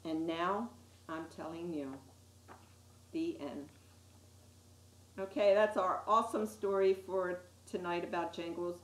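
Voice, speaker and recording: A woman reading a children's picture book aloud with dramatic, deliberate emphasis on key words. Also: lowish voice. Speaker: storytime reader. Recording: roomy, echoey sound.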